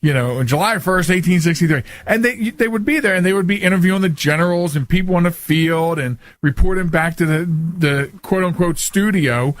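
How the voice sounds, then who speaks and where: A man's voice: sits high, a little fast, few pauses, dry recording. An American man hosting a radio talk show in a casual, chatty, folksy and joking way.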